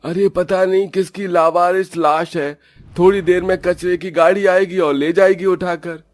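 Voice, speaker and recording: A man's voice: sits high, steady tone, normal speed, close to an everyday voice. A man voicing a callous, dismissive street sweeper in a cartoon story.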